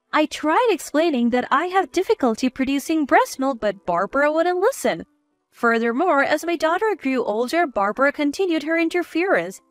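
A woman's voice: high voice, dry recording. A woman reading a scripted first-person story as its narrator.

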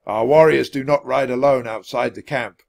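A man's voice: medium pitch, steady tone, normal speed, creaky, lightly acted. A man voicing a stern, suspicious Roman centurion who barks out orders and demands in an audiobook story. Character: barking, suspicious Roman centurion, commanding military officer.

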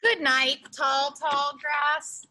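A woman's voice: sits high, fairly even intonation, extremely slow, bright, forceful tone, a little hiss or hum. A young woman reads a picture book aloud to small children outdoors, sing-song and carefully enunciated, with emphasis on the rhyming words. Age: young adult. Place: outdoors in a leafy backyard.

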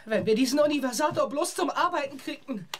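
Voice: sits high, lively intonation, fairly quick. An actor playing a practical, questioning young boy, the sidekick in a children's audio drama. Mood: puzzled. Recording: clear background noise.

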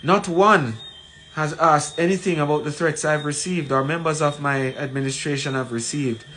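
A man in his forties with a Jamaican accent gives an emphatic, deliberate address to camera, repeating phrases for weight. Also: a little high, steady tone, fairly bright tone. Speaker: community leader. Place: small plain room indoors. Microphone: phone at arm's length. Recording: noisy room, ambient background sound.

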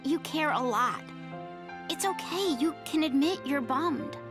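Perceptive anime girl, high, soft and coaxing, music behind. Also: lively intonation, a little slow. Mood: gentle, concerned.

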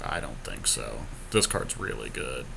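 A man's voice: very deep voice, very animated, unhurried, sharp tone. A man co-hosting a podcast, thinking aloud through a card game in casual commentary with some mild swearing. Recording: clear background noise.

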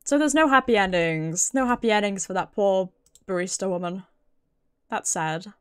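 A woman's voice: medium pitch, normal speed, clear, resonant tone. A British woman streamer chatting casually and expressively with her viewers, swearing freely. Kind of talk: casual livestream chat. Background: background music.

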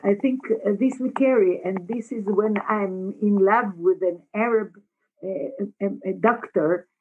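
An older woman with an Israeli accent, speaking reflectively and haltingly as she searches for words as a panel guest. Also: lowish voice. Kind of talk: reflective film Q&A talk. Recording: dull lo-fi call with clicks, faint noise.

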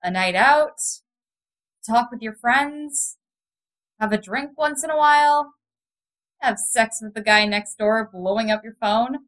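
A woman's voice: a little high, takes it slowly, pauses often, a bit bright, poor audio. A woman playing a supportive, frank, fun-loving friend in an audio drama. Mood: encouraging. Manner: encouraging, frank.

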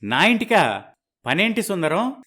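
A man's voice: high-pitched, big pitch swings, unhurried, bright, forceful tone, husky, exaggerated voice. A man playing a cautious, worried middle-aged father of a bride in a dramatized moral story. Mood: anxious.

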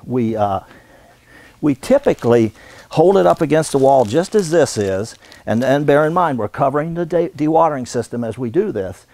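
An American man in his sixties giving an unscripted instructional demonstration in a halting, folksy way. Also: mid-range voice, expressive, a little mellow, no room sound. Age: 60s. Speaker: radon supply business owner and instructor. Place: indoor warehouse.

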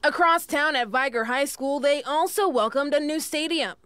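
High-voiced woman TV reporter. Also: moderate pace, fairly bright tone. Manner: crisp reporter voice-over delivery. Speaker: TV news reporter. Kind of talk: scripted news report.

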